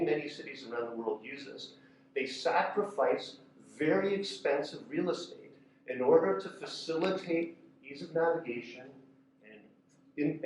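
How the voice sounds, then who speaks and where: A man's voice: mid-range voice, darkish tone, a little hiss or hum. A man giving a conversational talk with slides to a room, using fillers and restarts as he explains. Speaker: conference speaker. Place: lecture room. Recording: echoey room from far off.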